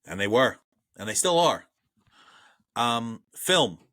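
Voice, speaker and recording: Blunt Brooklyn man, bright voice. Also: medium pitch, big pitch swings, slow, no room sound. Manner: blunt and profane, reads trivia aloud. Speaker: podcast co-host. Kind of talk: casual movie review chat.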